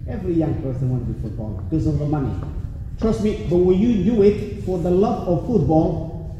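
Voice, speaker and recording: A high-pitched man from Africa, a native Somali speaker with a Welsh-tinged English accent, gives an earnest motivational speech straight to the crowd. He is picked up from far off in a big, echoey hall with a long reverb tail. Steady background noise sits under his voice. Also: a little fast. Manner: earnest, repeats "trust me", addresses the crowd directly. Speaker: guest speaker at a community event. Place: large hall at a community event.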